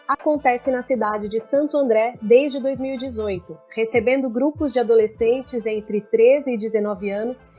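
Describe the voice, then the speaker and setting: High Brazilian woman on a lo-fi podcast. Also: moderate pace, hardly any pauses, dark tone, faint music behind. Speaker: podcast co-host. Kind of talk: scripted podcast narration. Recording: slightly dull lo-fi audio.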